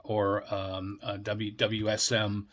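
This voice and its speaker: An American man with a slightly low voice gives an unscripted explanatory talk. He speaks haltingly and deliberately, wandering through his points like a hobbyist presenter addressing viewers. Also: monotone, fairly bright tone. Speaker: radio enthusiast presenter.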